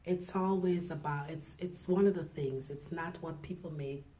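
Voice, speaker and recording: A middle-aged woman from Guyana, a nurse, speaking softly and reflectively in a personal interview, pausing often with fillers. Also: low-pitched. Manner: soft-spoken, reflective, halting with fillers.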